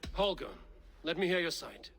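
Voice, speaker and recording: Brief: a composed Viking chief, slightly high, forceful voice, measured delivery. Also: male voice, faint music behind. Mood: firm, measured. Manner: measured, commanding. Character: calm, authoritative young Viking leader judging disputes. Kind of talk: dramatic video game acting.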